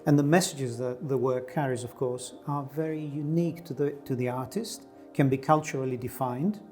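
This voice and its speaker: Middle-aged male artist guest, from Greece, musing and unhurried, faint music bed. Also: medium pitch, steady tone, a little mellow. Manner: thoughtful, searching, with many fillers and restarts. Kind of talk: reflective interview answers.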